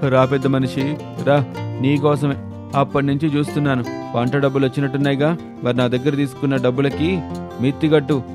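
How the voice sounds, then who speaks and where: A man's voice: mid-range voice, level tone, fairly quick, music in the background, close to an everyday voice. A man voicing a harsh, confrontational moneylender in a dramatic storybook tale. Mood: harsh, demanding. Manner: bullying, sarcastic, confrontational. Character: loud harsh male moneylender demanding repayment.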